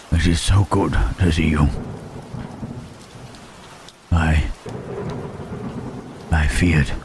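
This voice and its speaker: Gentle lonely creature played by a man, very low voice, slow halting delivery, ASMR roleplay, rain and thunder behind. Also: a little hiss or hum, full character voice. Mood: relieved, halting. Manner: halting, hushed, careful speech with long pauses. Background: rain and thunderstorm ambience.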